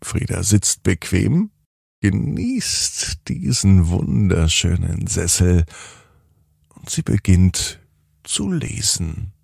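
A man narrating a bedtime story for children in an expressive, playful way, drawing out words and pausing for effect. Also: sits low, creaky, slightly rough, no room sound, clean studio sound. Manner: deliberate, playful, with drawn-out words and dramatic pauses.

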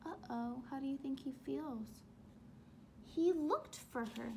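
A young woman teacher reading a children's book aloud and asking questions in an expressive, instructive way. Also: sits high, takes it slowly, creaky, a little hiss or hum. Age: young adult. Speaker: elementary school teacher. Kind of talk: children's read-aloud lesson. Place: small room at home.

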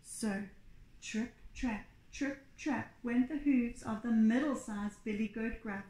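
Slow, expressive woman storyteller in echoey room. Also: a little high. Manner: expressive, deliberate. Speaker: pre-primary teacher. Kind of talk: children's storybook reading. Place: small bare indoor room.

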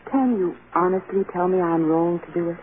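An actress playing a devoted, anguished film star wife in an earnest, emotional 1930s radio drama style. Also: female voice, fairly low pitch, a little fast, lightly acted. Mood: sad, resolved. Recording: scratchy, tinny 1930s radio broadcast.

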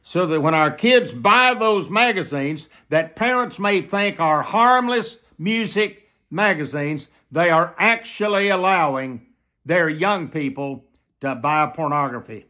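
An elderly man preaching to the camera in an emphatic, deliberate manner, often repeating phrases for weight. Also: on the high side, lively intonation, pushed and bright. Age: 70s. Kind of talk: sermon to camera. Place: small plain room indoors.

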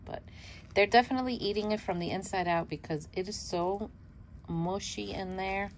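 A woman's voice: medium pitch, moderate pace, slight background noise. A woman whose first language is Spanish, hosting a casual worm composting vlog at home, chatty and self-laughing, repeating her words as she shows her bin. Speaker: worm composting channel host. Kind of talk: casual show-and-tell vlog.

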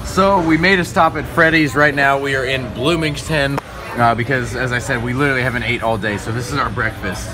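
A young man chatting casually through a travel vlog, filming himself on a phone at arm's length, excitable and saying "literally" a lot. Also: medium pitch, fairly quick, music in the background. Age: young adult. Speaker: vlogger. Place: car, street and home, phone held.

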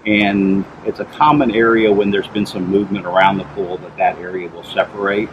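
A middle-aged man with a deep, low voice talks through pool problems in a steady, explanatory way, pausing with "uh" and "um." He is outdoors in a backyard, picked up by a camera mic a few steps away. Steady outdoor noise sits under his voice. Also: highs cut off. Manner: plain, measured, explanatory, with frequent "uh" and "um". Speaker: pool inspector.

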